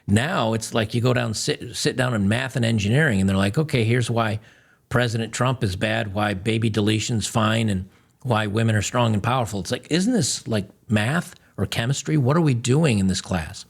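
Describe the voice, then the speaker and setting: Host type: gravel-voiced man, blunt and sneering, reacts to clips. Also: lowish voice, normal speed. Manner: blunt, mocking, animated.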